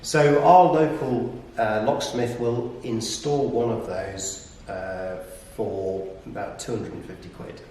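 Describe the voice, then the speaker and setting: Man, conversational explainer, hesitant measured phrasing, very echoey, far from mic. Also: lowish voice, steady tone, darkish tone. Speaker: property business operator giving tips. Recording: echoey room, distant mic, faint noise.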